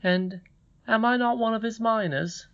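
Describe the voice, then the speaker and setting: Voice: mid-range voice, a little slow, a bit bright, clean tone, dull audio, voice pushed up. A storyteller voicing an earnest young miner boy, respectful and plucky, polite but bold. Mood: respectful, firm.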